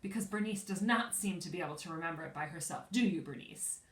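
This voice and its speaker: A woman reading a storybook aloud as a strict, unfriendly neighbour lady, high-voiced, clipped and condescending. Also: quick pace, lightly acted. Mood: stern, condescending. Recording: roomy echo, distant from mic.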